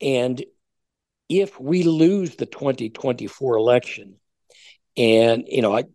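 Mellow, halting older American man. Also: mid-range voice, lively intonation, no room sound, studio quality. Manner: hesitant, halting delivery with many stumbles. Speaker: talk show guest commentator. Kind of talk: conversational political commentary.

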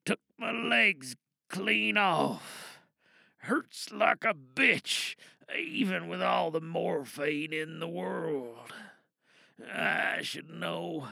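Man acting a gravelly, dying, bitter rebel. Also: on the high side, lively intonation, pushed and bright, no room sound, clean studio sound, full character voice. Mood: pained, resigned. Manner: weary, halting, dramatic delivery with profanity. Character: dying, bitter, angry cyberpunk rebel recording a last message. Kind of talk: audio drama monologue.

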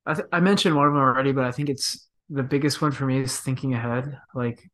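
A man in his thirties, a professional midfielder, talking softly and thoughtfully in a casual interview, with many fillers and restarts. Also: medium pitch, fairly even intonation, fast.

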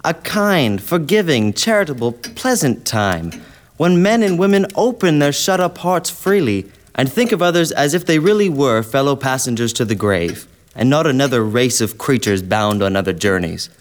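Actor as lively, kind young gentleman. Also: mid-range voice, moderate pace, close to an everyday voice. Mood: earnest. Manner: earnest, good-humoured, coaxing. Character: cheerful, kind-hearted young gentleman, a miser's nephew. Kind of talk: radio drama acting.

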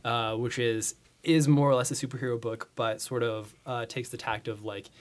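A man's voice: medium pitch, steady tone, moderate pace. A man giving recommendations as a podcast guest in casual, enthusiastic, informal chat.

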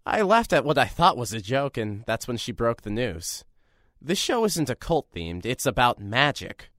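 A man's voice: mid-range voice, normal speed, no room sound, studio quality. An actor playing a nervous, rambling young man filling in as a radio host in an audio drama. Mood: earnest, rambling. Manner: rambling, self-deprecating, lively.

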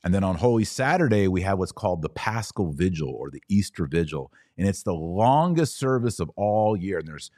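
A man's voice: low-pitched, very animated, moderate pace, few pauses, warm tone, no echo, studio quality. An American man hosting a podcast, talking to listeners in an emphatic, didactic way that leans into preaching, repeating phrases for emphasis. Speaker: podcast host. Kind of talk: live chat with listeners turning into preaching.

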